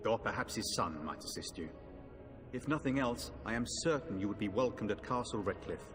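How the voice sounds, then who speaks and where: A man playing an earnest, dispirited knight in a fantasy game, speaking in a formal, measured, grave way. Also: on the low side, expressive, lightly acted. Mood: courteous, resigned. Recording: long hall reverb, faint music behind.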